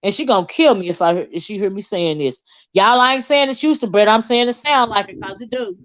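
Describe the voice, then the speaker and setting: A woman hosting a casual livestream with sassy, animated, teasing talk and frequent laughter. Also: medium pitch, a little fast, dry recording. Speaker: channel host.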